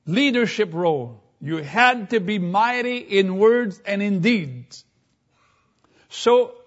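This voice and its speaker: Man, preacher, high voice, very slow deliberate sermon, dull lo-fi sound. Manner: slow, deliberate Bible teaching, reading scripture aloud.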